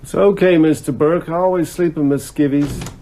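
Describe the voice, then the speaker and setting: An actor playing a sick, frightened, weary working man in a radio drama, speaking in halting, colloquial phrases. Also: fairly high pitch, steady tone, warm tone, a little hiss or hum, close to an everyday voice. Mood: listless. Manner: halting, plain-spoken, colloquial. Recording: music behind the voice.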